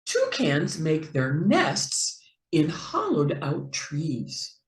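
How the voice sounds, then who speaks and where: A woman reading a picture book aloud to young children in an expressive, deliberate, playful way, as a teacher would. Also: low voice, echoey room. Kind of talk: slow animated read-aloud for young children.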